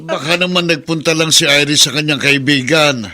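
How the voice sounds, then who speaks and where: An actor playing an older grandfather in a radio drama, gruff yet soothing toward his daughter. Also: a little high, brisk, sharp tone. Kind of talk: Tagalog radio drama acting.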